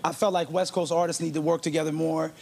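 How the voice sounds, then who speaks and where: Fast-talking man, gravelly. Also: fairly high pitch, flat delivery. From Haiti. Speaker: guest rapper. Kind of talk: TV talk show interview. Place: TV studio with live audience. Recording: studio crowd and noise behind.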